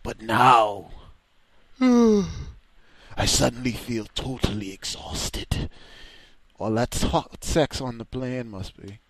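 A man's voice: mid-range voice, expressive, slow pace, fairly bright tone, no echo. Crude, mocking male game streamer. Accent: mixed British, Caribbean and American. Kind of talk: casual game commentary, reading the game text aloud.

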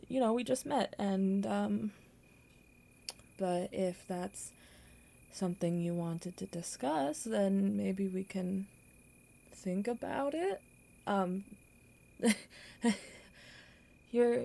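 Girl voice actor doing a flustered awkward young woman, sweet and kind, stammers a lot, slow pace, bit of vocal fry, roleplay audio. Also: mid-range voice, a little mellow, full character voice. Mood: flustered, amused. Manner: halting, flustered, stammering with nervous laughs. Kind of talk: intimate audio roleplay acting.

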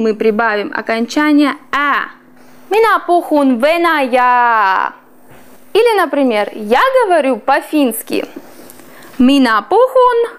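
High, lively woman teaching slowly. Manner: slow, clearly drawn-out pronunciation of foreign words. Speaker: language teacher. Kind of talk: classroom teaching. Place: small classroom with whiteboard.

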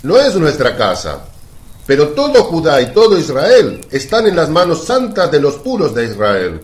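An actor playing a pompous, self-righteous Pharisee who denounces with scorn in a radio drama. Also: male voice, a little high, wildly swooping pitch, normal speed. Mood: haughty. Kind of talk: Spanish radio drama acting.

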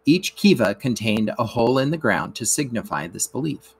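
Man reading a lesson aloud, measured. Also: medium pitch, very animated, husky. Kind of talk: educational read-aloud narration.

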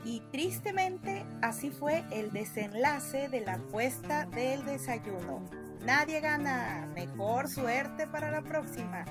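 High-voiced woman narrating over music. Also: animated, takes it slowly. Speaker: vlog co-host.

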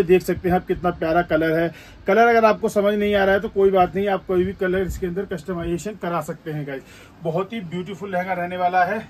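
A man with a high-pitched voice presents goods in a small shop. His sales talk is repetitive and emphatic. He is some way from the microphone, with faint noise behind him. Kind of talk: sales vlog patter in Hindi mixed with English words. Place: small indoor clothing shop. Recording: distant mic, faint background noise.

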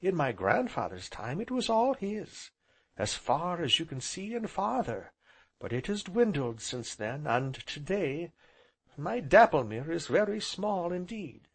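A man voicing a gentle, earnest young woman in a romantic storybook, speaking softly and sweetly. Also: on the high side, big pitch swings, a little slow, slightly rough, pitched above the performer's own voice, heavily acted. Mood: pleased, wistful. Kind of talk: audiobook character voice.

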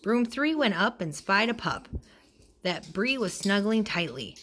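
A woman reading a children's picture book aloud in an expressive way, acting out the dialogue. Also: mid-range voice, a little slow. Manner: expressive, acts out character dialogue. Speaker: storybook reader.

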